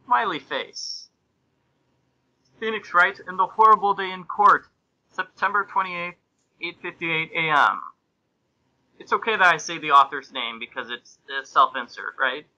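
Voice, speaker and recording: A man's voice: a little high, a little slow, pauses often, pushed and bright, muffled recording. A man hosting and narrating a comic amateur reading of fan fiction, in an amused, mock-serious way. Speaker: podcast host and narrator.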